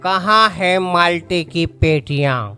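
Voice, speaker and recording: A man's voice: high voice, lively intonation, sharp tone, clean tone, faint music behind. A man voicing a stern, demanding warehouse boss in a cartoon story, speaking in a commanding way.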